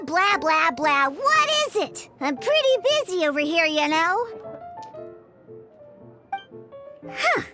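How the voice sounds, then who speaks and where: Grumpy young catgirl, high exasperated voice, swinging pitch, game music behind. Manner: grumbling, sighing, exasperated. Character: young cat-eared bartender girl, grumpy and sassy.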